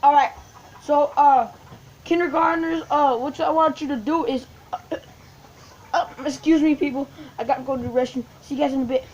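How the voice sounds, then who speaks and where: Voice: sits high, steady tone, normal speed, dull audio, a little hiss or hum. A puppeteer improvising a homemade puppet show in an informal, repetitive way, playing several characters. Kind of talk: improvised amateur puppet-show play-acting.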